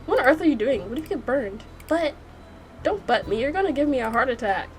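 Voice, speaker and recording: A woman's voice: high voice, lively intonation, fairly quick, slight background noise. Woman reading a blunt, exasperated bookish man. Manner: blunt, dismissive, scolding.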